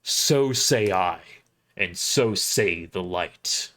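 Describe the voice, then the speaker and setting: A man's voice: low-pitched, expressive, extremely slow, bright, forceful tone, heavily acted. A man voicing a noble, stern holy knight in a formal, solemn, declamatory way for an audio story. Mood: solemn judgement.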